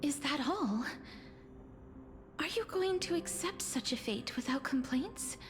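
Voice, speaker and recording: Slow, gentle young woman, music behind. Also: sits high, expressive. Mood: troubled. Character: gentle, solemn young woman bound to death. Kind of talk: dramatic video game voice acting.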